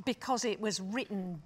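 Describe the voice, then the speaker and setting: A British woman in her sixties speaking thoughtfully on a discussion panel, with a measured, wry, reflective manner. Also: medium pitch. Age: 60s. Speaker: panelist, drama scholar. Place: stage panel before dark curtains, indoors.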